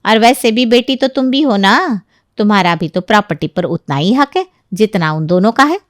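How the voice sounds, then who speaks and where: A woman's voice: medium pitch, lively intonation, normal speed, no echo, studio quality, close to an everyday voice. A woman playing a sly, gossipy neighbour in a Hindi audio story, with an insinuating, persuasive way of talking. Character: scheming meddling neighbour woman, sly and gossipy, stirring quarrels.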